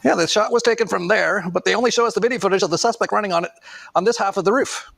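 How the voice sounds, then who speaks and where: High-pitched gravelly man, casual commentator. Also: racing, fairly bright tone, dry recording. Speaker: online commentator.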